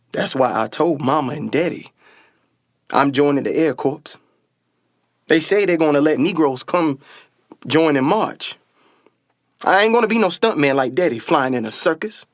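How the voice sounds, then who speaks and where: A man's voice: fairly high pitch, dry recording. A man playing a confident, dreamy older brother and aspiring pilot in a radio play, with an earnest, folksy storytelling manner. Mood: earnest, proud. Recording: music behind a dry voice. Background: music.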